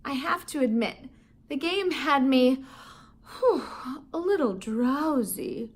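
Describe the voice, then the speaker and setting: A woman teacher reading a children's novel aloud, with expressive, animated storytelling. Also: high-pitched, slow.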